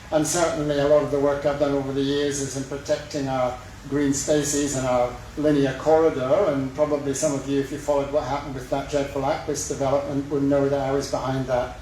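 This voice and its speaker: A middle-aged man with a west of Scotland accent giving a halting campaign speech at a lectern, with many fillers and self-deprecating jokes. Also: mid-range voice, a little mellow, a little hiss or hum. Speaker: mayoral candidate. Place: large echoey sports hall. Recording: very echoey hall recording from far off.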